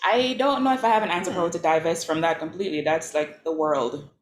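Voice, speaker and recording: A woman's voice: fairly low pitch, fast, a crowd in the background. A woman from Jamaica, an artist speaking on a panel, talking casually with many fillers and frequent laughs. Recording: echoey room with faint noise.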